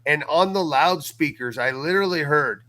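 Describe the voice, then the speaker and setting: A middle-aged man in casual podcast conversation, telling things in an earnest, folksy, animated way. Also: a little high, moderate pace, no room sound. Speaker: podcast guest and audio series creator.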